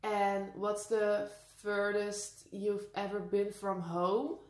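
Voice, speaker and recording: A young Dutch woman with a high voice and a Dutch accent, chatting casually through a Q&A, laughing often and slipping in sarcastic asides. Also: little pitch movement, slow pace. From Netherlands. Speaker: vlogger. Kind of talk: casual Q&A to camera. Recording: echoey room.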